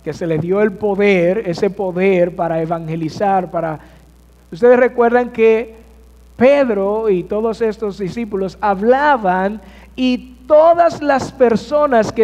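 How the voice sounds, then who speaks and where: A high-voiced man preaches from the pulpit of a church, taking his time over each phrase. He keeps asking the congregation questions and answering them himself. The sound carries a long reverb from the sanctuary. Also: darkish tone. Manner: addresses congregation with rhetorical questions. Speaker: pastor. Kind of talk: sermon.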